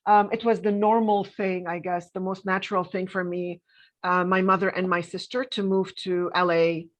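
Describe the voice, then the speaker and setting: A woman in her fifties, born in Lebanon with Arabic as her first language, telling her life story as a podcast guest in a reflective way, with many pauses and self-corrections. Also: fairly low pitch, little pitch movement. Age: 50s.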